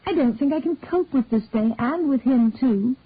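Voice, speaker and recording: A young daughter talks with hesitant, pleading feeling, as a woman reader voices her. Her voice is a touch high and breathy. It sits in a dry, phone-thin recording. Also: animated, moderate pace, dry recording. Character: young woman, warm but awkward adopted daughter. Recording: dry, tinny phone-like sound.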